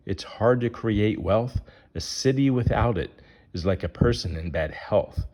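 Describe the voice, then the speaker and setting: A man's voice: medium pitch, a little mellow. A man reading a rhyming children's picture book aloud as its narrator.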